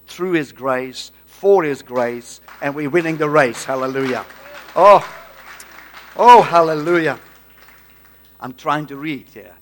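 A man from the Netherlands with a Dutch accent, preaching a Pentecostal-style sermon in an exclamatory way, often hesitating and repeating words. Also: fairly high pitch. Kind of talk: Pentecostal-style sermon with congregational call-outs.

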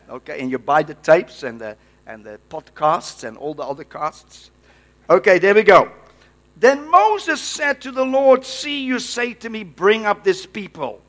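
A man's voice: high voice, a bit bright. A man from the Netherlands with a Dutch accent, preaching a Pentecostal-style sermon in an exclamatory way, often hesitating and repeating words. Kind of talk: Pentecostal-style sermon with congregational call-outs.

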